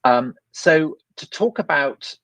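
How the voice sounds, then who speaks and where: A man's voice: fairly high pitch, animated, slow, creaky, a bit bright, dry recording, low-quality recording. A man, an author, answering an interview question, hesitating often with fillers and restarts. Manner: hesitant, many "um"s and restarts, uses imagery.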